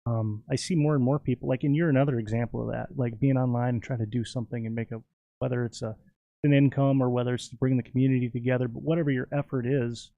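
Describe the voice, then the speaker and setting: A man's voice: medium pitch, fairly even intonation, fast, gravelly, no echo, phone-quality sound. A man from Minnesota, a podcast guest, chatting in a laid-back, rambling way with lots of fillers. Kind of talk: casual podcast chat.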